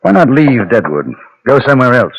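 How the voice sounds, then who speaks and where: An actor playing a composed, steely gentleman gunfighter with polite, formal diction in an old-time radio Western. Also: medium pitch, big pitch swings, a little fast, creaky, dull audio. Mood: calm concern. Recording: noisy vintage radio recording.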